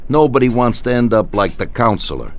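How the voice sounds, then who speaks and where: A man's voice: medium pitch, lively intonation, a little fast, close to an everyday voice. A man playing a coolly calculating crime boss in a video game. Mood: businesslike, commanding. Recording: loud music under the voice.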